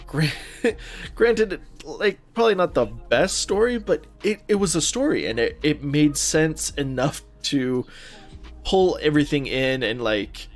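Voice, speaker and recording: A man's voice: a little high, expressive, a little slow, soft background music. A man co-hosting a podcast, talking casually like a fan, informal and a little sweary. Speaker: podcast co-host. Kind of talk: casual chat about movies.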